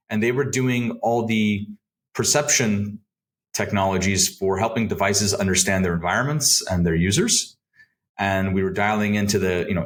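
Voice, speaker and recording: A man's voice: deep voice, fairly bright tone. A man in his thirties from Southern California with an American accent, talking conversationally as an interview guest. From Southern California, United States. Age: 30s. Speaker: podcast guest, advisory firm founder. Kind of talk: conversational career storytelling in an interview.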